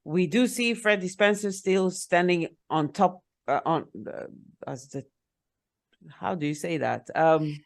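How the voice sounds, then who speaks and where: A woman's voice: lowish voice, unhurried. A woman co-hosting a casual podcast chat in her second language, explaining at length with frequent fillers. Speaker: podcast co-host.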